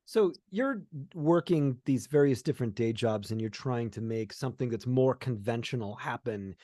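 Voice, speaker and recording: A man's voice: mid-range voice, animated, moderate pace, warm tone, no room sound, clean studio sound. A man hosting a conversational interview, reflectively summing things up before he asks a question. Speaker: interview host.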